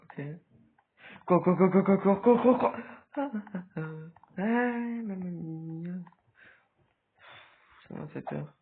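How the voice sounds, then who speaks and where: Stuffy-nosed man, slow gaming chatter. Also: high voice, creaky, slight background noise. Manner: hesitant, many fillers, sudden excited outbursts. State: stuffy with a cold. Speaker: gaming video creator. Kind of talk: casual gameplay commentary. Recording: tinny phone-like recording.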